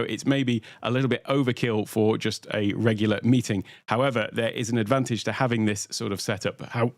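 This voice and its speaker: A middle-aged British man from the UK hosting a live online tech workshop from his home studio, talking in a relaxed, conversational way with lots of "uh" fillers. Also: fairly low pitch, no echo. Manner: relaxed, conversational, many "uh" fillers, greets chat viewers. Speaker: workshop host and tech presenter.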